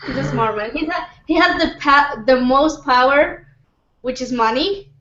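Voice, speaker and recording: A woman with a high voice shares her opinion in a casual group discussion, often repeating herself as she finds her words. The sound is roomy and somewhat dull. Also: measured pace, pushed and bright, slight background noise. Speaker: discussion panelist.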